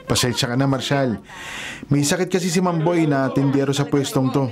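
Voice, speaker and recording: An actor playing a protective, teasing cousin who works as a driver in a Filipino radio drama, brotherly and matter-of-fact. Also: male voice, mid-range voice, brisk, a crowd in the background, lightly acted. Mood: apologetic, brisk. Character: protective, teasing male cousin, family driver showing newcomer around. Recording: loud music bed behind dialogue.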